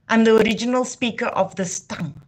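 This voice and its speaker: A woman from Namibia, hosting a live talk in a passionate, declamatory way. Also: on the high side. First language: Afrikaans. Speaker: livestream host. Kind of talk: impassioned heritage talk.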